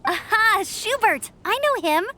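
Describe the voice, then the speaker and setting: A friendly young female scout of a knightly order in a video game. She is perky and chatty, with a high, lively voice that rises and falls a lot as she encourages and explains. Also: unhurried, full character voice. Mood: amused. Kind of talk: English video game voice acting.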